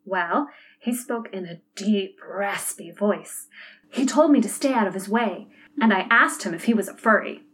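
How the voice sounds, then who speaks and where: A woman's voice: mid-range voice, normal speed, a bit bright, close to an everyday voice. A woman playing a timid but eager and earnest male police detective. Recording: distant mic with background noise.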